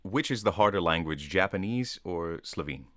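A man hosting a radio interview, reading an introduction and asking measured questions. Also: low-pitched, animated, a bit bright, dry recording, studio quality. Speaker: radio host.

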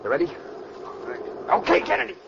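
An actor playing a cocky, vicious escaped convict gang leader in a 1940s radio crime drama, barking orders in tough slang. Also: male voice, high voice, huge pitch swings, brisk, gravelly, exaggerated voice. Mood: conspiratorial, urgent, then barking, excited. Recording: muffled, noisy old radio recording.

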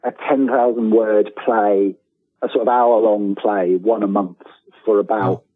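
Husky low-voiced man on a tinny line. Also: steady tone, measured pace. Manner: rambling, self-deprecating, trailing restarts and quoted asides. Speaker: interview guest, freelance writer. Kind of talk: casual interview chat. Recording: tinny phone-like line with room echo.

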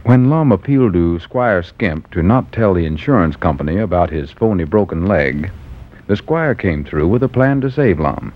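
A man's voice: sits low, animated, normal speed. A man narrating a scripted story recap as a 1940s radio announcer. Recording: dull old 1940s radio broadcast.

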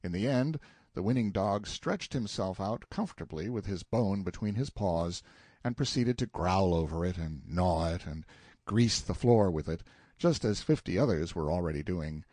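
A man narrating a literary novel as an audiobook, with a measured, expressive storytelling delivery and a slightly husky voice. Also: sits low, dry recording, clean studio sound.

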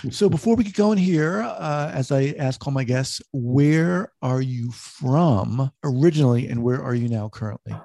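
A man hosting an interview podcast, with an upbeat, energetic delivery when introducing guests. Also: mid-range voice, normal speed, creaky, few pauses, no echo. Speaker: podcast host.

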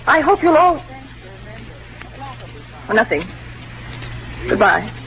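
A critical, fussing housewife in a vintage radio play. Her speech is animated in pitch, on a muffled old recording with faint noise. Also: slow, heavily acted. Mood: fretful. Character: nagging, critical, fretful middle-class wife. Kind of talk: 1940s radio drama acting.

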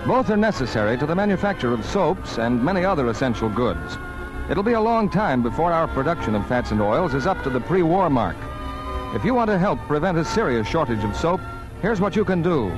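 A male announcer from forties radio reads scripted copy over music. His voice sits fairly high and moves with lively intonation. The delivery is crisp and persuasive. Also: a little fast.

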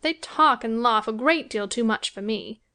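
Woman playing a high-pitched, querulous young gentlewoman. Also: moderate pace. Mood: petulant. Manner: complaining, self-important. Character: self-pitying, querulous young married gentlewoman, fancying herself ill. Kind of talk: dramatised classic novel reading.

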